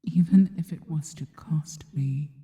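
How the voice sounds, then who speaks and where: A woman performs a worshipful spider monster girl in an intimate ASMR roleplay. The voice is a soft, breathy whisper, low and deeply mellow. Words come slowly and reverently, with adoring, possessive devotion. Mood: reverent, wistful. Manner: slow, deliberate, reverent.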